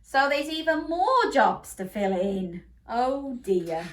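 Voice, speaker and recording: A woman's voice: sits high, very animated, takes it slowly, slight background noise. A woman reading a children's bedtime story aloud, playful and interactive with young listeners. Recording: roomy echo.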